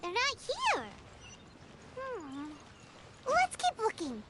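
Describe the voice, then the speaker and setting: A woman voicing a tiny, excitable, childlike fairy companion in a video game, with an eager, exclaiming manner. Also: slow pace, heavily acted. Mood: curious. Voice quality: childlike, squeaky. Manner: exclaiming, speaks of self in third person. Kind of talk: animated video game dialogue. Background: playful game music.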